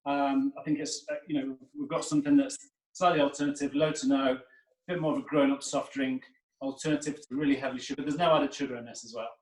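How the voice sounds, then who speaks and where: Echoey, distant man pitching on a call. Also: steady tone, fast, darkish tone. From Northern Ireland. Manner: chatty, hesitant, uh and um fillers. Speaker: drinks company co-founder. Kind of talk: casual product pitch on a video call. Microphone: video call.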